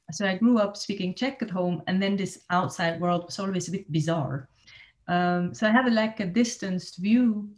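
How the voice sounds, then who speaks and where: A woman's voice: fairly low pitch, darkish tone. A woman in her forties speaks into a laptop webcam from a small room at home. She talks in a thoughtful, searching way, with frequent ums and restarted phrases, like someone presenting to colleagues online. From Switzerland. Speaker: art space director. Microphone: laptop webcam on video call.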